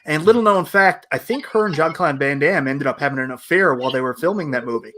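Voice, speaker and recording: Bright sharp voiced guy, a bit high, just chatting on a panel show. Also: quick pace, a little hiss or hum. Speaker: panelist on a video game movie show. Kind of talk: casual chat.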